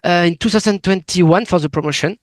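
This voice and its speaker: Interviewer: French-accented man, high voice, dry close sound, hesitant non-native English. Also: dry recording. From France. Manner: halting non-native English with many fillers. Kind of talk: video call interview.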